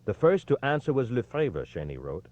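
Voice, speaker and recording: A man reading a history book aloud as a scripted audiobook narration, with expressive, emphatic phrasing. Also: mid-range voice, normal speed, lo-fi sound.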